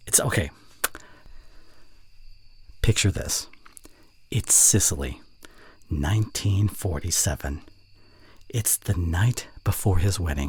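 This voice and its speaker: A young man playing a teasing, fond college student and voice actor in an intimate ASMR roleplay, with a breathy voice that often drops toward a whisper and halting, playful delivery. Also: on the low side, a little mellow. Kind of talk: scripted ASMR roleplay addressed to the listener.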